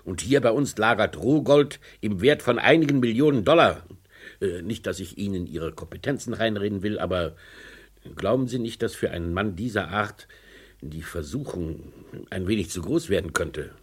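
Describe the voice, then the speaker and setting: Mine boss, radio drama, low pitch, husky, commanding, deliberate, distrustful. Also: male voice. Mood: sceptical, pressing. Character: authoritative, distrustful male mine company boss. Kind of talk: old German radio crime play.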